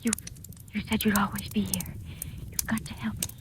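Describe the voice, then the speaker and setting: An actress playing a frightened but defiant young captive in old radio suspense style, earnest and formal. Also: female voice, medium pitch, little pitch movement, normal speed, in a whisper, close to an everyday voice. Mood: weak, desperate. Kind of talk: 1940s radio suspense acting. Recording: noisy old-time radio recording.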